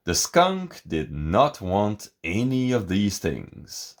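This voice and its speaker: A man reading a picture book aloud in a theatrical, expressive and deliberate way, as scripted narration. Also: on the low side. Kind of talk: dramatic scripted storybook narration.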